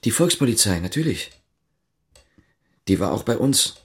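A man's voice: lowish voice, sing-song, normal speed. An actor playing a guarded East German policeman in a radio drama, terse and matter-of-fact. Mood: sober, controlled. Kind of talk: radio drama acting with inner monologue.

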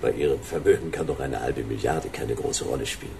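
Radio noir detective: low voice, world-weary, sardonic, faint noise behind. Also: male voice, brisk, vocal fry, darkish tone. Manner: dry, sardonic. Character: wisecracking world-weary private detective, grumbling, adult man. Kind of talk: radio crime drama acting.

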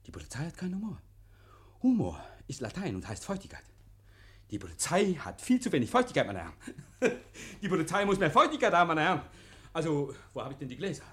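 An actor playing a nervous, over-eager murder suspect in a 1950s radio play, effusive, stammering and repeating himself. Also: male voice, fairly high pitch, wildly swooping pitch, fast talker, a bit bright. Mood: manically cheerful.

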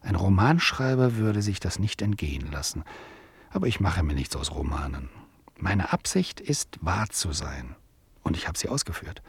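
An actor playing a wry, teasing author-narrator in a literary radio play, ironic and rhetorical. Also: male voice, sits low, big pitch swings, normal speed, vocal fry, breathy edge, no echo, exaggerated voice. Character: wry, teasing male author-narrator sparring with his listener.

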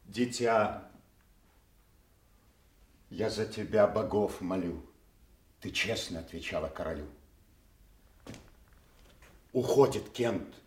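An actor playing a blunt, loyal and fearless nobleman in a theatrical audio drama, solemn, fervent and defiant. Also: on the low side. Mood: sad, resigned. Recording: roomy, echoing sound.

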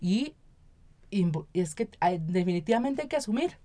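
A woman's voice: on the low side, animated, normal speed, mellow. A woman with a Costa Rican accent speaking as a guest in a conversational radio interview, explaining at length and often checking in with tag questions. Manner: explanatory, often tags statements with "¿verdad?". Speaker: interview guest, local council member and activist.

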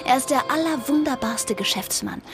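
High-voiced flighty young actress. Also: female voice, expressive, moderate pace. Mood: breezy, confiding. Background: music.

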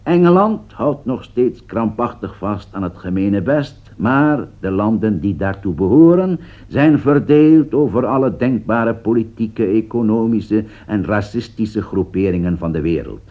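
A man playing a solemn political commentator in a radio drama, speaking in a deliberate, rhetorical way to his listeners. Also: lowish voice, expressive. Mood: grave. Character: grave male radio political commentator addressing listeners amid world crisis. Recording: dull lo-fi old radio recording.

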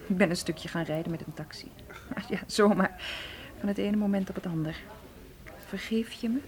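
An actress in a radio drama playing an emotionally strained, soft-spoken young lady, hesitant and placating. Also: medium pitch, normal speed, lightly acted. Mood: apologetic, wistful.

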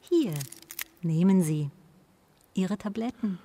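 An actress playing a firm, protective, admonishing museum attendant in a German radio play. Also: female voice, mid-range voice, lively intonation, slow pace, dark tone. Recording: long reverb of a large hall.

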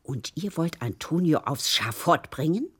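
An actress playing an excitable, pious, nosy housekeeper in a radio crime play, urgent and gossipy. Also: female voice, low voice, unhurried, husky, exaggerated voice. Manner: urgent, gossipy, teasing questions.

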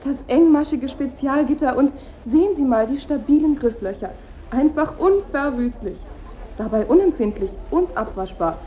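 An actress playing a brisk saleswoman in a radio play, demonstrating goods with insistent patter. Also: sits high, expressive, warm tone, muffled recording, a little hiss or hum. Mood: eager selling. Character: brisk saleswoman praising a child's safety playpen.

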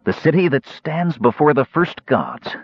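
Guy voicing a secretive wizard for an audiobook, quiet, husky, slow n intense, imperious. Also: fairly high pitch, sing-song, dry recording, muffled recording, voice pushed up, exaggerated voice. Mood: quietly intense. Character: terse intense male mage, secretive and imperious.

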